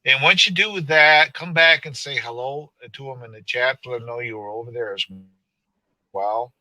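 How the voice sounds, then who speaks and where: A man hosts a laid-back live stream, chatting and ribbing his friends. His voice is sharp and bright. The sound is dry and close, with no room around it. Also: medium pitch, normal speed, dry recording, poor audio. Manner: rambling, joking, laughs often. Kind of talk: casual live stream chat.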